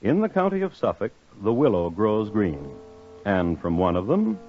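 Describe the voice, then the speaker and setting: An actor playing a dry, solemn crime historian who narrates a 1950s radio drama in a measured, deadpan way. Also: male voice, on the low side, faint music behind. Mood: wry, measured. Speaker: host narrator. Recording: muffled 1950s radio recording.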